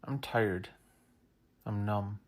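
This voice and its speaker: A man's voice: lowish voice, drawn-out words. A man speaking in a weary, subdued way. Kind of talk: social media video monologue.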